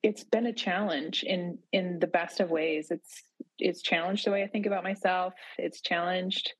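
A woman in her thirties from Idaho, a podcast guest talking thoughtfully about moving abroad, pausing and restarting as she gathers her thoughts. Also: lowish voice, steady tone, breathy edge, no room sound, rough recording. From Boise, Idaho area, USA. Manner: thoughtful, with frequent restarts and hedges. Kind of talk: reflective casual conversation.

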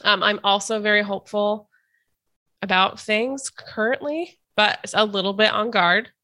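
A woman's voice: medium pitch, a little slow, sharp tone. A woman hosting a casual interview podcast, chatty and hesitant with many fillers and restarts, a little stuffy from a cold. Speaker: podcast host.

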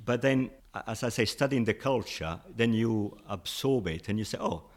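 A man's voice: lowish voice, animated, moderate pace. Italian-accented man teaching Spanish. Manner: explains patiently, with frequent "you know". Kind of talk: interview guest.

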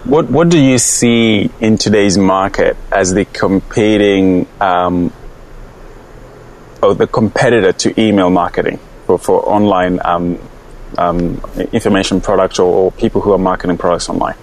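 A man's voice: deep voice, fairly even intonation, normal speed, a little mellow, slight background noise, rough recording. A man interviewing a guest in a conversational way, feeling his way through his questions as host.

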